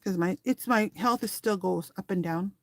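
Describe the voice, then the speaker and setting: A woman's voice: medium pitch, moderate pace, slight background noise. A woman hosting a craft tutorial in a casual, rambling, conversational way, thinking aloud as she works with her hands. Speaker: craft tutorial host and small online shop seller.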